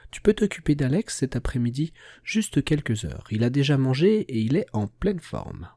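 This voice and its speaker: A man voicing a caring mother bear who gently instructs and reassures in a children's storybook. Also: mid-range voice, sing-song, moderate pace, no room sound, studio quality, pitched above the performer's own voice. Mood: reassuring.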